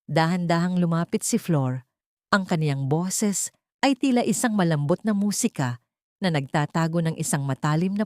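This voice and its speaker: A woman's voice: lowish voice, warm tone, no echo, studio quality. A woman narrating a dramatic scripted story in a solemn, suspenseful way.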